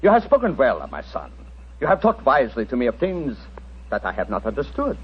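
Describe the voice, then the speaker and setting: Casting: grave, welcoming camp patriarch, formal delivery, hugely varied intonation, muffled vintage radio. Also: male voice, medium pitch, huge pitch swings, a little fast, slightly rough, heavily acted. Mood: grave welcome. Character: grave, hospitable Romani patriarch, a father. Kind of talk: 1940s radio acting. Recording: dull, noisy 1940s radio recording.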